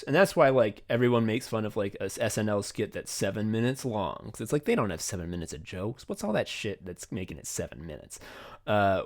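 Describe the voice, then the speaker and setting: A man's voice: mid-range voice, a bit bright. Guy podcast host just chatting, swears a lot, kinda self-deprecating, normal mid voice. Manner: profane, joking and self-deprecating. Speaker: podcast host and comedy writer. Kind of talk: casual chat.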